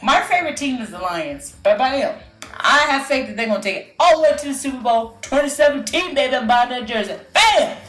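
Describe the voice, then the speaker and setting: A woman's voice: high-pitched, lively intonation, brisk, a bit bright, echoey room, soft background music. Playful woman podcast co-host. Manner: playful teasing, many short affirmations. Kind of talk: casual chat.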